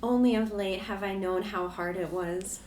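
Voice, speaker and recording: A man voicing a gentle, sorrowful young woman in an audiobook reading, pleading softly. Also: sits high, unhurried, roomy sound, a little hiss or hum, pitched above the performer's own voice. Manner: pleading, soft and tremulous.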